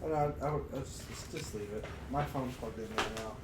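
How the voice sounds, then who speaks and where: A man's voice: mid-range voice, fairly quick. A man co-hosting a streamed podcast, chatting casually in a playful, slangy way. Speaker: podcast co-host. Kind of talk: casual chat on a live stream. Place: echoey room, podcast recording on stream. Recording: very noisy, echoey, distant from mic.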